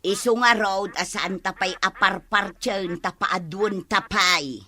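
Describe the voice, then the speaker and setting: An actress playing an excitable, nagging village woman in a Filipino radio comedy drama, with an Ilocano accent. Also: mid-range voice, takes it slowly. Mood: nagging, teasing. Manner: animated, exclaiming, nagging.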